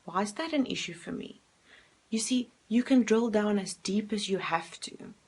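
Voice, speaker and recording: A woman's voice: mid-range voice, moderate pace, darkish tone, husky. A woman, a coach talking straight to camera in a self-help video, earnest and direct, putting questions to herself and answering them. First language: Afrikaans.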